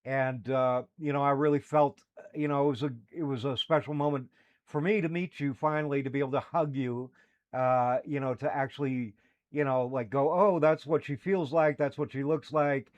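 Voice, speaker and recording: A man's voice: medium pitch, fairly even intonation, normal speed, darkish tone, muffled recording. A man co-hosting a livestream, chatting casually and rambling with many fillers and restarts. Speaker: podcast co-host. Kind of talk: casual chat.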